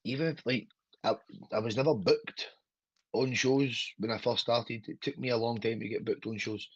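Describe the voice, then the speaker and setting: A man's voice: medium pitch, fairly even intonation, moderate pace, breathy edge, no echo. A man in his thirties from Scotland with a Glaswegian accent, a wrestler chatting on a podcast in a rambling, sweary way that keeps checking in with the listener. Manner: rambling, sweary, keeps asking "do you know what I mean". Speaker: pro wrestler guest. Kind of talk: casual podcast chat. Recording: dull, lo-fi, dry podcast audio.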